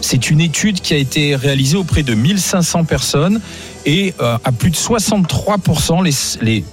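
Male radio host, fairly high voice, brisk, lively, asks the questions. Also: soft background music. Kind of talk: hosting a talk radio debate.

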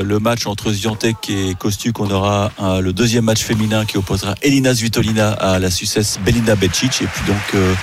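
A man's voice: mid-range voice, background noise. A man giving a tennis report on sports radio, chatty and rambling, correcting himself as he goes. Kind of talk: casual sports radio chat.

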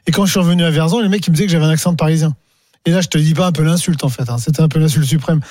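A man's voice: fairly high pitch, racing, darkish tone, no room sound, studio quality. A man on a radio panel, chatting in a casual, slangy way.

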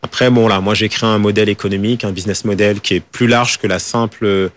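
Créateur de mode, voix assez grave, réponses d'interview hésitantes. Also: male voice, lowish voice, fairly even intonation, fast talker. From France. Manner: hesitant, many fillers and restarts. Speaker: fashion designer and school founder. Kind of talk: interview answers.

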